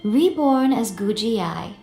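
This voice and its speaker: An actress playing a sly, elegant, teasing shrine maiden in a video game, speaking in a measured, amused, knowing way. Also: female voice, mid-range voice, animated, creaky, darkish tone, roomy sound, soft background music. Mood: playful, musing. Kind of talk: video game character dialogue.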